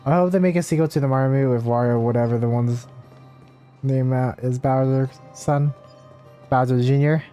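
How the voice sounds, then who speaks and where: Male streamer, mid-pitched and mellow, offhand live gameplay commentary over faint game music. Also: fairly even intonation, moderate pace. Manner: offhand, reads dialogue aloud between asides to chat. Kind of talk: casual live gameplay commentary, reading out quest dialogue.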